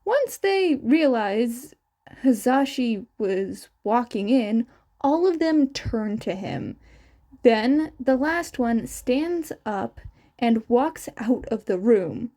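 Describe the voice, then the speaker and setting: A woman reads fiction aloud as narrator. Her voice is high and animated in its melody, and she takes her time over the sentences. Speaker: podfic narrator. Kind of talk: reading a fan fiction story aloud.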